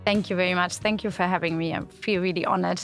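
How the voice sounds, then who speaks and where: A woman's voice: on the low side, fairly even intonation, fast, fairly bright tone, faint music behind. A woman from Germany with a German accent, a guest on a studio podcast chatting casually and thoughtfully with many fillers. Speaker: podcast guest, community website founder. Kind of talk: casual interview chat.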